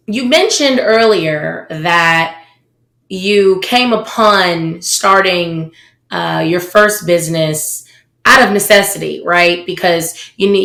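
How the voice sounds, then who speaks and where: Slow-talking woman asking the questions, echoey room. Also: on the low side, a bit bright. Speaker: interview host. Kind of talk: interview questions.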